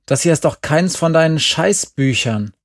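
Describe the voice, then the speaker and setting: A man voicing a frantic, bossy teenage boy who shouts and swears in an audiobook. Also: a little high, lively intonation, normal speed, dry recording, studio quality, voice pushed up. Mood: urgent.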